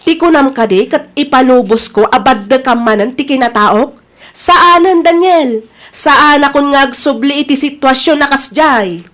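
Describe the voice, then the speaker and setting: Woman as high-voiced, resolute Ilocano wife. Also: a little slow, a bit bright. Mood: hurt, firm. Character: resolute wife back from working abroad, hurt but self-respecting. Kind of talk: Ilocano radio-style drama acting.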